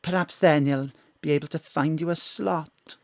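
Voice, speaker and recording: A woman's voice: sits low, fairly even intonation, normal speed, a little mellow, dry recording, voice pushed down. A woman voicing a fawning, snooty young male receptionist in an audio drama, gushing and over-polite. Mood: snooty, evasive.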